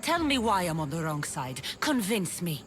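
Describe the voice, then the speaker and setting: A woman's voice: on the low side, big pitch swings, normal speed, a bit bright, a little hiss or hum. A woman playing a fierce, bitter young warrior in a video game, with a taunting, cutting delivery. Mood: cold, challenging. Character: fierce young female cult-raised warrior, bitter, menacing, contemptuous. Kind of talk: cinematic video game acting. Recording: music under the voice.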